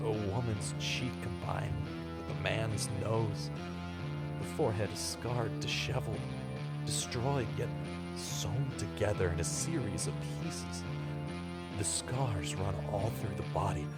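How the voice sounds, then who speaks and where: Slow, low-voiced fearful investigator played by a man. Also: lightly acted. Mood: horrified. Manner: halting, dramatic, horrified. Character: grave, fearful male investigator hunting a monster. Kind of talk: vintage-style radio drama acting. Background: loud dramatic music.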